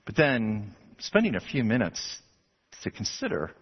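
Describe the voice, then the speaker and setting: A man pastor from Texas preaching an expository sermon to his congregation, animated and building to emphatic passages. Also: on the low side, unhurried, slightly rough. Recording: dull lo-fi sound.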